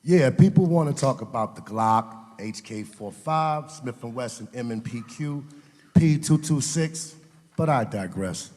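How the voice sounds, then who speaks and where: A man in an audio drama playing a boastful, callous talking bullet with a wisecracking, deliberate delivery. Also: medium pitch, animated, darkish tone, in a large hall, close to an everyday voice. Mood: boastful, sardonic.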